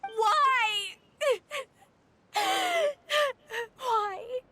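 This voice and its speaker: A woman's voice: high-pitched, big pitch swings, measured pace, bright, forceful tone, exaggerated voice. A woman voicing a hot-tempered, cornered teenage girl in a dramatic game, emotional, biting and confrontational. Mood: anguished. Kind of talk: dramatic video game voice acting.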